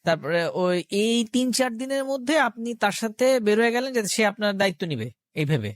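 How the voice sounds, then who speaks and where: A woman's voice: on the high side, sing-song, a little fast, dry recording. A woman with a Bangladeshi accent interviewing conversationally as a show host, recapping and asking probing questions.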